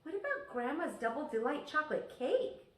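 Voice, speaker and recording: Lady doing an eager little boy from a kids book, high and swoopy, echoey room. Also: very animated, moderate pace, fairly bright tone. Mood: earnest, questioning. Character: earnest young boy, eager and easily frustrated. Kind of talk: children's storybook voicing. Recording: echoey room, distant microphone.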